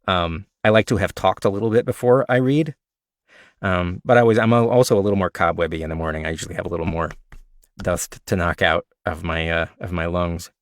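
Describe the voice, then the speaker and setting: Male narrator, slightly raspy and low-pitched, relaxed chatty delivery, dry close recording. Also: brisk, dry recording. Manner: relaxed, chatty, self-described nerd with frequent fillers. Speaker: audiobook narrator sharing tips. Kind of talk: casual Q&A livestream talk.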